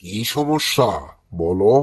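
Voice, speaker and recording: A man voicing a calm, kindly, wise village elder with a husky voice and measured, counselling manner in a cartoon. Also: fairly low pitch, no echo, clean studio sound, full character voice. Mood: calm concern. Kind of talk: cartoon dialogue acting.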